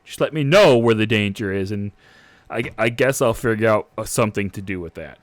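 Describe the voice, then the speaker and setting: A man's voice: on the low side, expressive, a little fast, no room sound, lightly acted. A man playing a hesitant captain who rambles and restarts his sentences. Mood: flustered. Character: flustered, hesitant male captain.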